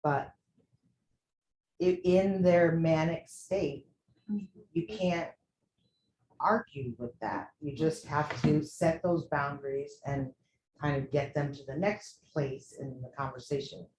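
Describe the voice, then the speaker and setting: A nursing instructor giving measured, reflective feedback to a student, with thoughtful pauses. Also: medium pitch, darkish tone, slight background noise. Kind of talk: simulation debrief. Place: simulation room. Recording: echoey room with faint ambience.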